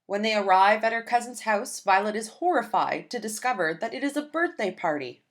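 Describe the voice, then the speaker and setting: A woman reading a children's picture book aloud in a gentle, expressive storytelling manner. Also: mid-range voice, fairly quick.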